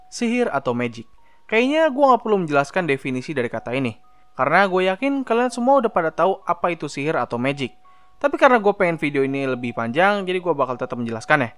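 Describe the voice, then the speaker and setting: A man's voice: on the high side, big pitch swings, fast, a bit bright, clear, resonant tone. A young man, narrating a scripted explainer as a video host with informal, chatty asides. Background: background music.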